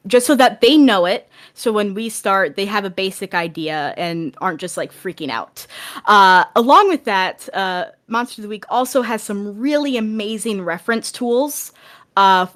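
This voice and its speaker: A woman's voice: mid-range voice, a bit bright. A woman speaking as a podcast guest, explaining things in a casual, informal and encouraging way.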